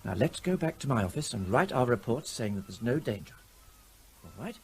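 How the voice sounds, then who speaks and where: An actor playing a formal, firm and impatient health investigator in a radio drama. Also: male voice, mid-range voice, big pitch swings, fairly quick, a little hiss or hum. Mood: impatient, insistent.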